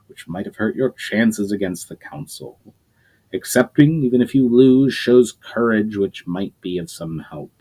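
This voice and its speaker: A man voicing a calm, wise male mage leader who speaks slowly and patiently. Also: fairly low pitch, close to an everyday voice. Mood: reassuring. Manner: measured, patient.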